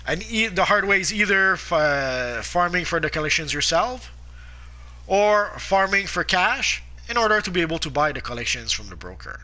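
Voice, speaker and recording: A man's voice: high voice, animated, bright, forceful tone. A man with a sore throat and sniffles, casually talking through a game guide with many fillers and restarts. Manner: halting, frequent "uh" fillers and restarts. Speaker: gameplay guide narrator.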